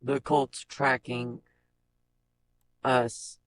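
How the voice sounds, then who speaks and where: A performer voicing a grim, commanding cyborg with terse dramatic lines and a pitch-shifted robotic effect. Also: flat delivery, very slow, no echo. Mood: grim, urgent. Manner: terse, dramatic line delivery. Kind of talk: scripted character voice-over demo.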